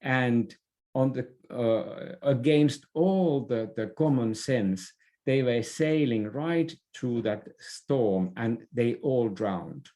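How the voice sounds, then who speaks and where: A man with a mellow, slightly low voice explains his ideas in an interview. His delivery is unhurried and halting, with repeated words and self-corrections, in a conversational style. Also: expressive. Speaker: interview guest, leadership expert.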